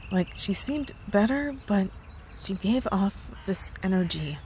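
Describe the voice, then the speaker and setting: Woman acting a worried coworker, slow hesitant delivery, soft dark tone, earnest, audio roleplay, faint noise behind. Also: mid-range voice, lively intonation, natural voice, low-quality recording. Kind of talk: ASMR roleplay audio drama.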